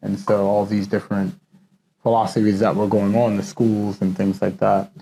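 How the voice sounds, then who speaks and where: A man with a low, mellow voice talks as an interview guest, thinking aloud in a loose, reflective way and leaning on "you know" as he goes. A faint hum of room noise sits under him. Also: level tone, normal speed, gravelly, rough recording. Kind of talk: casual conversational interview.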